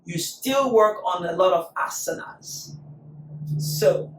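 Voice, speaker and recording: A woman teaching a yoga class, calmly cueing breath and poses, her voice still recovering after being lost. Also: lowish voice, slow, background noise. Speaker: yoga instructor. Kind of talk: guided yoga class instruction. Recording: echoey room, voice from a distance.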